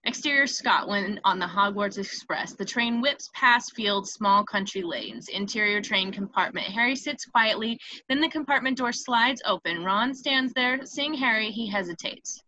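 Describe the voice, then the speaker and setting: A woman's voice: medium pitch, expressive, normal speed, hardly any pauses, bright, forceful tone, dry recording, muffled recording. A woman reading scripted stage directions as the narrator of a screenplay table read. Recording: noticeable background noise on home mic.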